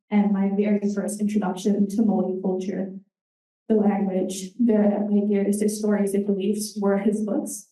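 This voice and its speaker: Young New woman, earnest halting presenter, dark mellow tone, heard from afar in a roomy hall. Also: medium pitch. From New Zealand. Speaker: intern presenter. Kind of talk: conference presentation. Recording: roomy hall, distant mic.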